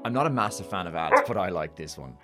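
An actor playing a dim, blunt, childlike man in a darkly comic audio drama, deadpan and naive. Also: deep voice, quick pace, over music. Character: dim, blunt, childlike male radio sidekick.

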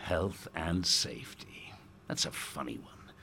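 An actor playing a smug, sardonic state radio host in a dark audio drama, theatrical and menacingly polite with pompous presenter patter. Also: male voice, extremely low pitch, slow pace, vocal fry, slight background noise, exaggerated voice. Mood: bitter, confiding.

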